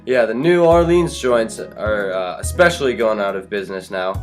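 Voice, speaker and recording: A man's voice: on the low side, expressive, moderate pace, soft background music, lightly acted. A man playing an earnest 1920s radio news anchor reading scripted news.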